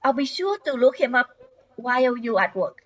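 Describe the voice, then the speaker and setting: A woman's voice: fairly high pitch, big pitch swings, moderate pace, a bit bright. An older woman playing a confident, polite suburban wife in her thirties in an amateur radio play. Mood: composed, sly. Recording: dull lo-fi sound.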